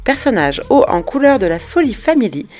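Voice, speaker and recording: A woman presenting the episode in scripted narration with an expressive delivery. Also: fairly high pitch, a little fast, soft background music.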